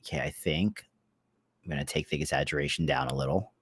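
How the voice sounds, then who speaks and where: A man hosting a casual livestream, chatty and excitable, repeating himself as he experiments. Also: a bass voice, fast, creaky, husky, no room sound. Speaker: livestream host.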